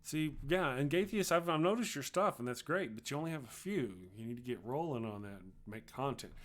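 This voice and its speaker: A middle-aged man with a Texas accent hosts a casual livestream at an ordinary mid pitch. He rambles along in a loose, chatty way, restarting sentences as he talks to viewers. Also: expressive, a little fast. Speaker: livestream host. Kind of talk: casual livestream chat, reading viewer comments.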